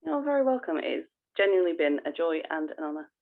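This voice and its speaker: A woman's voice: lowish voice, very animated, fast, vocal fry, narrow, tinny audio. A woman co-hosting a podcast, chatting casually in a playful, informal way. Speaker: podcast co-host. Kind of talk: casual chat.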